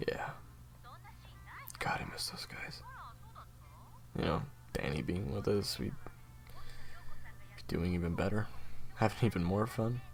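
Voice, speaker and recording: A man's voice: deep voice, slow, vocal fry, long pauses, full character voice. A man playing a laid-back, sweary young agent in an audio roleplay, casual and slangy in his delivery. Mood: wistful, warm. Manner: casual, slangy, hesitant starts.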